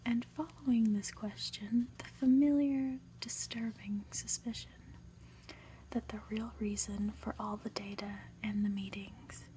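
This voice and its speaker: A woman reading a story aloud for ASMR in a soft, breathy whisper, hushed and deliberate, with pauses. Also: mid-range voice, fairly even intonation, a little hiss or hum, poor audio. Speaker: host reading a book aloud.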